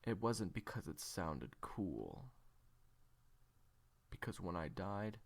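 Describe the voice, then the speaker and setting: A man playing a haunted, weary assassin in an audio roleplay, speaking in a halting, brooding way with dramatic pauses. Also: very animated, full character voice. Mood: bitter, brooding. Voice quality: gravelly, low. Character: haunted, weary male assassin raised as a nameless experiment.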